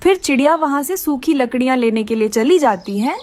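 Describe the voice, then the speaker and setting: A woman's voice: high voice, lively intonation, brisk, darkish tone. A woman narrating a scripted children's cartoon story as its storyteller.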